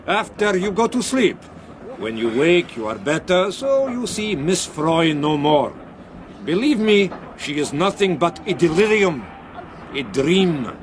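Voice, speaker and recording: An actor playing a patronising, soothing foreign doctor in a radio drama, with non-native phrasing. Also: male voice, sits high, big pitch swings, a little slow. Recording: noisy train ambience behind voice.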